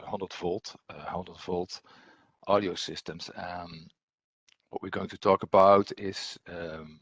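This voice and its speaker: A man with a low, husky voice gives a technical webinar presentation. He speaks hesitantly and often repeats himself as he explains. The sound is close and dry. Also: no room sound. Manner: hesitant, repetitive phrasing. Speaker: presenter, application engineer.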